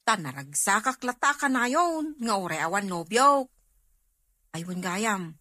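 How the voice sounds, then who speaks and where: A woman's voice: medium pitch, very animated, measured pace, bright, forceful tone, dry recording, studio quality, heavily acted. A woman with an Ilocano Filipino accent playing a cheerful, doting household helper in a radio drama. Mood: cheerful, teasing.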